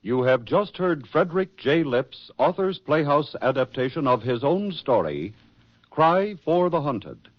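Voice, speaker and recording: A formal American man announcing in measured 1940s radio style. His intonation rises and falls widely. The old broadcast recording sounds dull and dry, with music faintly behind. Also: fairly high pitch, very animated, no echo.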